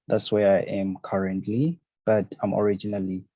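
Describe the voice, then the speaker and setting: Young Zambian-born designer guest, easygoing and filler-heavy, mellow dark tone, dry muffled lo-fi recording. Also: male voice, lowish voice, level tone, normal speed, no echo. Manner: easygoing, many fillers and "you know, man". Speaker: fashion designer, podcast and radio host. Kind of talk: relaxed interview answers. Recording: dull lo-fi sound.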